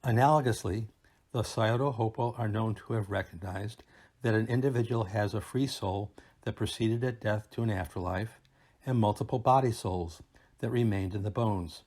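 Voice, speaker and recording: A man reading a scripted academic presentation aloud in a formal, measured and deliberate manner. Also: fairly low pitch, darkish tone. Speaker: academic researcher presenting a paper.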